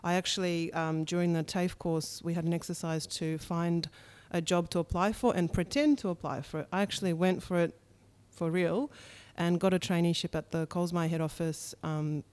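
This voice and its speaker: A woman's voice: fairly low pitch, steady tone, normal speed, hardly any pauses, darkish tone, smooth. A woman in her thirties, originally from Russia and, talking candidly as a podcast guest on a studio mic, with frequent ums. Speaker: startup co-founder, podcast guest. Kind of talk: relaxed interview conversation. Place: bright studio room with a window. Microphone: studio mic on a boom arm.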